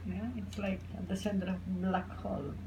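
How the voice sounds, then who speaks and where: A woman in casual conversation as a listener, mostly giving low-key, brief responses, on a noisy recording. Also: level tone, brisk. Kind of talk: casual chat.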